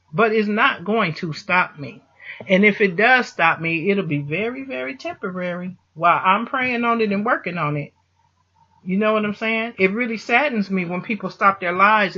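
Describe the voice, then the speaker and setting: A man's voice: high voice, sharp tone, lo-fi sound. A man giving an impassioned, faith-filled motivational monologue as a vlogger, emphatic and repeating phrases to drive points home. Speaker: vlogger answering a listener.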